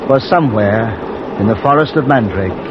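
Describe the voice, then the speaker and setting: An actor playing a brooding army colonel, measured and grave, in a vintage wartime radio drama. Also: male voice, medium pitch, expressive, natural voice. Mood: brooding, tense. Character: brooding British army colonel narrating his wartime story. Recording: muffled old radio recording, loud noise.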